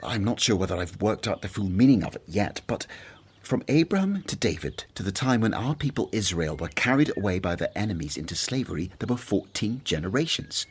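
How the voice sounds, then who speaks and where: Eager husky guy explaining stuff, low voice that jumps around. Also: expressive, brisk. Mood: earnest. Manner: eager, earnest, talks up ideas. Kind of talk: radio drama acting.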